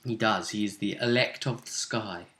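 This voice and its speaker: A man's voice: fairly low pitch, normal speed, sharp tone. A man talking casually as game master of a tabletop role-playing game, chatty and fond of tag questions. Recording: echoey room.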